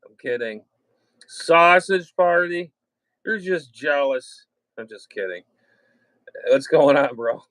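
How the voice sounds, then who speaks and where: A man with a slightly high-set voice hosts a casual livestream. He talks bluntly and loosely, in slang peppered with swearing. The sound is dry, with no room around it. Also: takes it slowly, long pauses, fairly bright tone, no echo. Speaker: livestream host and ham radio hobbyist.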